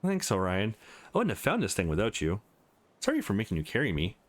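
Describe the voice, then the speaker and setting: A man's voice: lowish voice, wildly swooping pitch, a little fast. A man voicing a petite, shy young woman in a visual novel, with a hesitant, awkward manner. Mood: weary, grateful.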